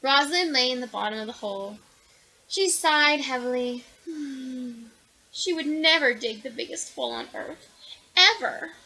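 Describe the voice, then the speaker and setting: A woman reading a children's picture book aloud in an animated, expressive way. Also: sits high, a little slow, poor audio. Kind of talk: children's storybook read-aloud.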